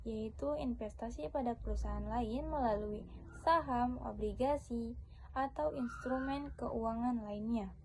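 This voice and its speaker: A woman's voice: on the high side, mellow, a little hiss or hum, rough recording. A young woman giving a scripted student presentation, reciting formally point by point. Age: young adult.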